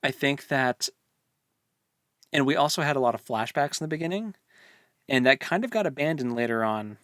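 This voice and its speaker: A man with a mid-range voice talks as a podcast co-host in a dry, close-sounding recording. He works through his opinions loosely in relaxed, informal book discussion. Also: mid-range voice, normal speed, no room sound.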